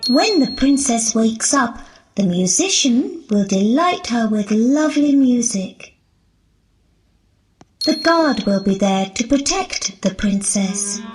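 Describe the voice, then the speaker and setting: A woman voicing a kindly, caring fairy in a children's storybook, speaking in a deliberate, gentle manner. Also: on the high side, lively intonation, soft background music. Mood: gentle, reassuring. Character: kindly, caring fairy planning a magical sleep. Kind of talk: scripted children's storybook acting.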